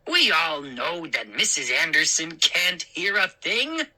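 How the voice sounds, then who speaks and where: A woman's voice: low voice, sing-song, slow, pushed and bright, exaggerated voice. A woman voicing a mischievous male garden gnome who mocks and taunts. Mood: mocking. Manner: mocking, taunting.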